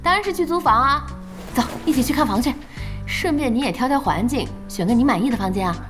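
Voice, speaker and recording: An actress playing a spirited, outspoken young female boss in a radio drama, with a brisk and expressive manner. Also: sits high, music in the background. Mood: decisive. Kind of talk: Chinese radio drama acting.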